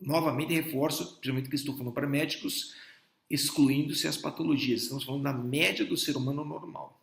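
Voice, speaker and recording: A man's voice: fairly high pitch, fairly even intonation, brisk, vocal fry. Man lecturing in an echoey room. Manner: explanatory, with frequent restarts and rhetorical checks. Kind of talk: philosophy lecture for doctors.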